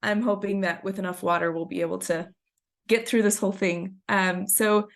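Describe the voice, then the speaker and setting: A woman's voice: medium pitch, steady tone, normal speed. A woman in her thirties presenting a webinar in a chatty storytelling way, a little scratchy from a cold. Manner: chatty, storytelling, frequent ums. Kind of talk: conversational presentation.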